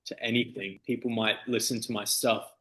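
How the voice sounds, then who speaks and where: A man's voice: fairly low pitch, steady tone, normal speed, sharp tone, a little hiss or hum. A man, a DJ, chatting casually in an interview with loose, easygoing phrasing and plenty of fillers. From Australia. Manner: easygoing, loose, full of fillers like "you know" and "like". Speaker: DJ and guest.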